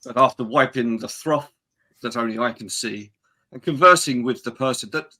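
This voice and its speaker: A man playing an absurdly solemn mime adventurer, telling his tale in a deadpan, halting way in a tabletop roleplaying game. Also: medium pitch, lightly acted. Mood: mock-serious.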